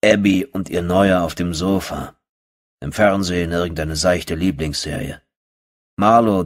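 A man narrating a first-person horror audiobook in a brooding, terse, dry manner with a husky voice. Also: low voice.

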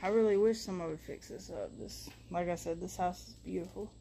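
A woman's voice: on the low side, brisk, vocal fry, mellow, slight background noise. A woman walking through an abandoned house with a handheld camera, talking casually and swearing as she looks around. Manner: chatty, swears freely, thinks aloud. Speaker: urban explorer vlogger. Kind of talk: casual walkthrough narration. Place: abandoned wooden house, indoors and on porch.

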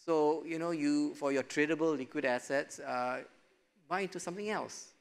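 A middle-aged man answering as an investment panelist in a studio discussion, relaxed and chuckling, with lots of "you know" fillers, on a lapel mic. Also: on the high side, normal speed, gravelly, clear, resonant tone. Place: studio stage set.

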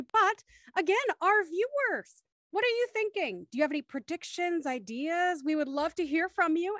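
High-pitched woman narrator and host. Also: a bit bright, dry recording, studio quality. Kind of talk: scripted radio play narration and livestream hosting. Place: indoors on a group video call.

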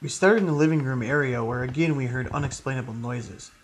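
A man's voice: mid-range voice, a little fast, husky. A man narrating a paranormal investigation in a scripted, documentary style. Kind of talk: scripted narration of a ghost hunt.

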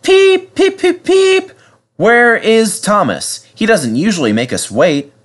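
A man's voice: high voice, level tone, unhurried, pitched above the performer's own voice. A man voicing a kindly, hardworking old steam engine in a children's storybook, with a puffing, fussy manner. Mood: fussing. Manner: puffing, fussing, gently teasing.

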